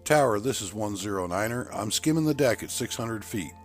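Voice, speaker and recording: A cool, confident fighter pilot , played by a male narrator, reports in a low voice. His phrasing is clipped military radio talk, in an audiobook thriller with music behind him. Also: lively intonation, fairly quick, natural voice.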